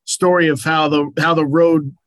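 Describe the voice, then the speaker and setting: A man's voice: on the high side, steady tone, moderate pace, a bit bright, no echo. A man interviewing a baseball coach, asking conversational, leading questions. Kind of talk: sports interview.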